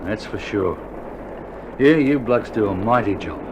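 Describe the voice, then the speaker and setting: An actor playing an eager, loyal wounded Australian soldier in a radio drama, talking in an earnest, colloquial way. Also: male voice, on the low side, animated, unhurried, lo-fi sound. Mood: earnest, admiring. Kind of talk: recorded radio drama acting. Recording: vintage vinyl recording, faint noise.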